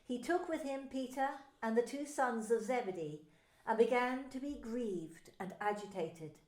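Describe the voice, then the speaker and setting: A woman narrating a dramatised Bible story in scripted, expressive storytelling. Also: a little high, unhurried. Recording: echoey room with faint music.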